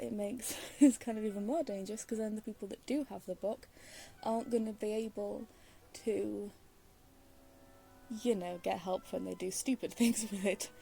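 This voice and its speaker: Casting: woman game streamer, mid-pitched soft dark tone, slow, rambling, casual commentary. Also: steady tone, creaky, soft background music, rough recording. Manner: hesitant, rambling, giggly. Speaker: gaming streamer.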